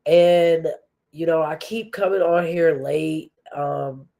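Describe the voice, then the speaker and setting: Female podcast host, congested from allergies; unhurried, earnest motivational delivery. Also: low voice, dark tone. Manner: slow, earnest, with many fillers and restarts. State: stuffy nose from allergies. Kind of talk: faith-based motivational podcast talk.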